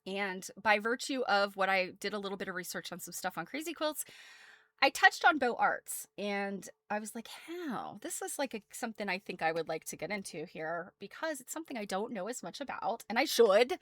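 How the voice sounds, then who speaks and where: A woman's voice: mid-range voice, big pitch swings, normal speed, a bit bright, no echo. An American woman presenting quilt history casually as a host, chatty and self-deprecating, wandering off into asides.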